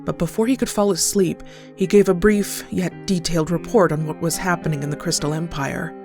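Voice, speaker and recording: A woman's voice: lowish voice, fairly even intonation, fairly quick, darkish tone. A woman narrating a scripted horror story as a storyteller. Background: clear background music.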